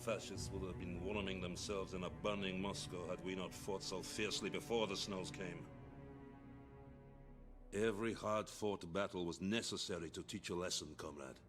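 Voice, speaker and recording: An actor playing a hardline, zealous Soviet officer in a game cutscene, speaking in a stern, declamatory, authoritative manner. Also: male voice, sits low, steady tone, moderate pace, over music, natural voice. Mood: stern, assured. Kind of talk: dramatic game cutscene dialogue.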